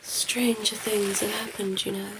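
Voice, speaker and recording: A woman voicing a ditzy, flirty female vampire in an ASMR roleplay, speaking softly and breathily, often in a whisper, with a coy, teasing manner. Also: medium pitch, level tone, background noise, natural voice. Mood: soothing, flirty. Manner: slow, teasing, coy, giggly.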